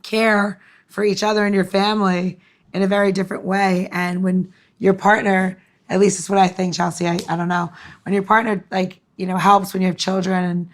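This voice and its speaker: A woman's voice: mid-range voice, level tone, moderate pace. Playful rambling woman co-host. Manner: playful, rambling, with many restarts. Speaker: podcast co-host. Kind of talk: casual podcast chat.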